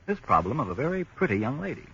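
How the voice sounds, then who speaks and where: A man announcing a 1940s radio broadcast, smooth, persuasive and measured. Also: mid-range voice, sing-song, bright, forceful tone, dull audio, a little hiss or hum.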